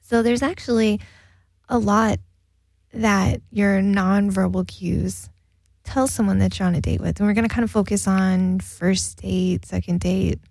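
Chatty woman radio co-host, gravelly. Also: medium pitch, fairly even intonation, unhurried, a little mellow, no echo. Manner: chatty, laughs often, reads listener emails. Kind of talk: casual radio chat.

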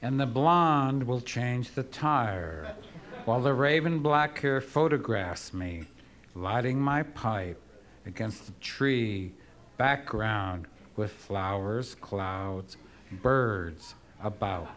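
A man reading his poems aloud to an audience, drunk, gruff and profane, rambling between pieces. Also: medium pitch, animated, slow, a bit bright. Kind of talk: live poetry reading with rambling asides.

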